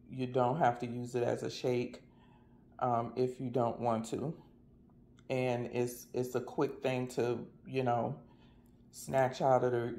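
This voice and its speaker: Male vlogger, American, folksy casual product chat, flat delivery with a gravelly edge, slight background noise. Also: medium pitch. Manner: folksy, colloquial. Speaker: vlogger reviewing meal products.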